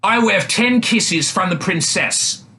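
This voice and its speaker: A man's voice: high-pitched, animated, measured pace, pushed and bright, a little hiss or hum, pitched above the performer's own voice, heavily acted. A man voicing a cheeky, stubborn young prince disguised as a swineherd, bold and insistent, in a fairy tale.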